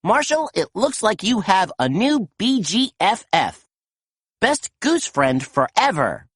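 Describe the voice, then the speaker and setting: A woman's voice: mid-range voice, sing-song, slow, slightly rough, dry recording, clean studio sound, voice pushed up, exaggerated voice. A woman voicing a cheerful young female pup in a teasing, giggly way.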